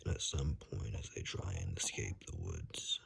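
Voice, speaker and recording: A man playing a calm, wry forest ranger in a hushed ASMR roleplay, speaking slowly in a breathy, gravelly whisper with dry understatement. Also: extremely low pitch, full character voice. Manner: slow, hushed, dryly understated.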